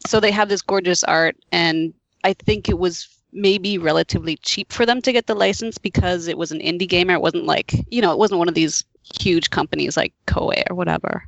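A woman's voice: on the low side, a little fast, gravelly, few pauses, no echo, dull audio. A woman chatting casually on a podcast panel in a tentative, hedging way. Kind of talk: casual roundtable chat.

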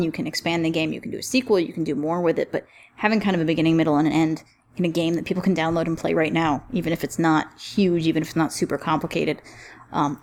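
A woman hosting a casual roundtable podcast, speaking in a chatty, encouraging way with clear enthusiasm. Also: sits low. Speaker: podcast host.

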